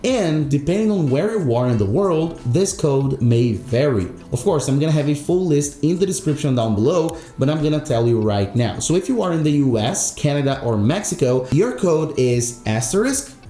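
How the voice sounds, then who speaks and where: A man's voice: fairly high pitch, expressive, normal speed, dark tone, roomy sound. A man hosting an instructional tech tutorial, explaining step by step in an emphatic, repetitive way. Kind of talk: instructional screen-recorded tutorial narration.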